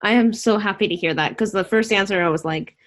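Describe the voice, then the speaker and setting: A woman's voice: mid-range voice, fast talker. A woman hosting a casual online interview, chatty and opinionated, rambling with restarts.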